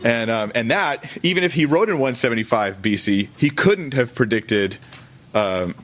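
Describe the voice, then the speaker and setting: A man's voice: mid-range voice, animated, moderate pace, fairly bright tone. A man who is a pastor, teaching an informal sermon in a conversational, colloquial manner, often correcting himself as he goes. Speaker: pastor teaching a church service.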